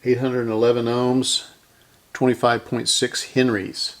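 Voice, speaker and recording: A man's voice: medium pitch, level tone, unhurried. A middle-aged man hosting a casual hands-on repair demonstration, talking to himself unscripted as he works. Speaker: host of a vintage electronics repair channel. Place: indoor electronics workbench.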